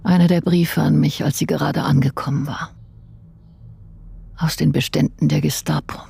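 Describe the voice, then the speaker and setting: A woman's voice: low-pitched, steady tone, normal speed, dark tone. An actress playing a sober female investigator recounting events in a radio drama. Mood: sober, reflective. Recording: clear background noise and ambience.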